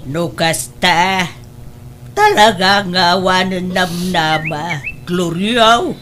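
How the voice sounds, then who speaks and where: Radio drama role: worried older mother, urging, unhurried speech, faint noise behind. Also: fairly low pitch, a bit bright. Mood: resigned. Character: concerned older mother interceding for her daughter. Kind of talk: Ilocano radio drama acting.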